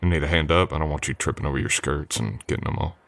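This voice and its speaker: A man playing a low-voiced, polite drifter cowboy. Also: fast talker, fairly bright tone, dry recording. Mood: willing, easygoing. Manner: folksy, courteous, hesitant, drawling old west speech. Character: polite, easygoing drifter cowboy hired as ranch hand. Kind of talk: ASMR roleplay acting, addressing the listener.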